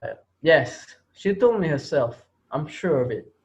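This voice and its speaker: Taunting, higher-voiced villain teacher, acted by a man. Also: animated, a little slow, darkish tone, lo-fi sound. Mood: stern, accusing. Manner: taunting, laughs at his victims. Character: menacing male teacher holding his class hostage, mocking, taunting. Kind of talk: amateur reader's theater acting.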